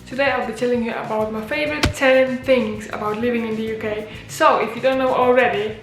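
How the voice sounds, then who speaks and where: A woman's voice: on the high side, fairly even intonation, brisk. Chatty Polish-born woman in an echoey room. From Poland. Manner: chatty, uses many fillers like "like" and "you know". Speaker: vlogger. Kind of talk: casual talking-to-camera vlog. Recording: echoey room with faint music.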